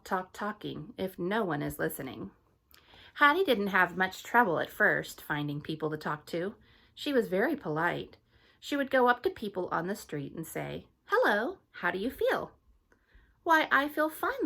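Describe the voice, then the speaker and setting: A teacher reading a picture book aloud to her students in a gentle, friendly storytelling manner. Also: medium pitch, creaky.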